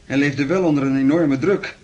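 A man playing a measured police inspector. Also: fairly high pitch, a little mellow, dull audio, lightly acted. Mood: matter-of-fact. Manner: polite, formal, matter-of-fact. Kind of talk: Dutch radio play acting.

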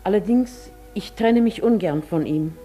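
A woman's voice: mid-range voice, unhurried, mellow, soft background music, close to an everyday voice. An actress playing a genteel, wistful elderly lady in a German radio play, speaking formally with some hesitation. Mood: gracious. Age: older.

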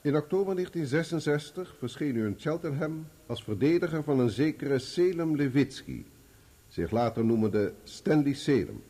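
A methodical lawyer in a courtroom radio drama. His questions are formal and courteous, in a dark, husky voice with animated intonation. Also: a little high, moderate pace. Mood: courteous, probing. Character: courteous, methodical male lawyer examining witnesses. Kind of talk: radio play courtroom acting.

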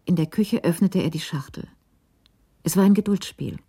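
A woman's voice: fairly low pitch, moderate pace, warm tone, slightly rough. A woman narrating a radio crime drama from a script in the role of storyteller.

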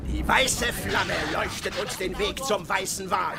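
Fanatical old sea captain, high-strained and gravelly. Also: male voice, measured pace. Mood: fevered, exultant. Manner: declamatory, commanding, grandiose. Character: fanatical, defiant older whaling captain, obsessed with vengeance. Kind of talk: radio drama acting.

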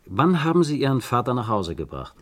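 An actor playing a courteous, probing police inspector in a German radio crime drama, asking questions in a measured way. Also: male voice, mid-range voice, sing-song. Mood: courteous, firm.

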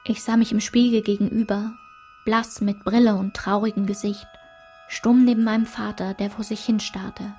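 An actress playing a woman who recalls her painful girlhood, narrating a literary radio drama in a measured, reflective way. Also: mid-range voice, flat delivery, darkish tone, faint music behind, lightly acted.

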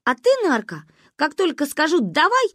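Bossy little girl from a storybook, really high and swoopy, stubborn and theatrical. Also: wildly swooping pitch, normal speed, a bit bright, dry recording, studio quality, exaggerated voice. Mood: bossy, solemn. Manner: insistent, commanding, theatrical. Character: stubborn, bossy, dramatic little girl, wailing when hurt.

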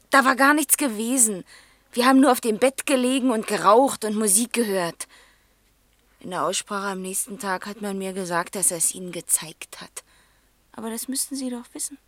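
Eine Schauspielerin spielt im Hörspiel eine verletzte, trotzige Tochter im Teenageralter, offen und stockend, oft leise werdend. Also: female voice, mid-range voice, dry recording, close to an everyday voice. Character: wounded, defiant teenage daughter, frank and vulnerable. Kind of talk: naturalistic German radio drama dialogue.

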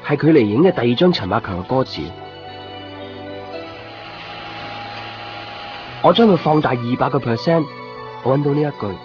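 A man's voice: medium pitch, animated, fast, natural voice. A man playing a reflective, lovelorn young man in a Cantonese radio drama, with a musing, conversational delivery. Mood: musing, narrating. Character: reflective, lovelorn young man narrating his own story. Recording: dull lo-fi old radio recording. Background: music.

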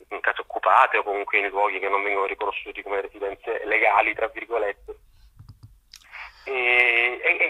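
A man speaking as a journalist guest, analysing in a halting way, searching for words and restarting phrases, over a phone call to a radio show. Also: mid-range voice, bright, forceful tone, slightly rough. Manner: halting, searching for words with repeated false starts. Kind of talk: spoken political and historical analysis in an interview.

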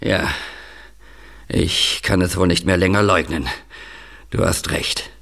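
Man acting a gruff, creaky, evasive agent. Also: low-pitched, a bit bright, full character voice. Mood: grave confession. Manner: hesitant, halting with interjections. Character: gruff, evasive middle-aged agent with a guilty secret. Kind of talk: audio drama acting.

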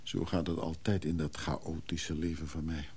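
A man's voice: rumbling low, level tone, moderate pace, darkish tone, a little hiss or hum. An actor playing a widow's hesitant, guilt-ridden lover in a radio play, musing as he talks. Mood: tender, troubled. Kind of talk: Dutch radio play acting.

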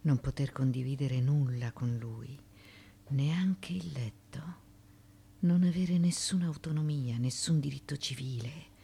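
A woman's voice: a bass voice, unhurried, vocal fry, dark tone, slight background noise, heavily acted. An actress playing a proud, defiant middle-aged former courtesan and mother in ancient Greece, emphatic and insistent, in a theatrical radio drama. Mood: wistful, bitter.

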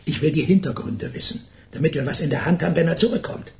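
An actor playing an impatient, pompous FBI department chief in a radio play, curt and hectoring. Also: male voice, on the high side, expressive, quick pace, darkish tone. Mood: bossy.

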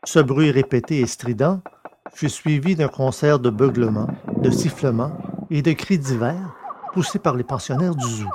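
A man's voice: mid-range voice, moderate pace, heavy background noise. A man reading scripted narration for an audio drama.

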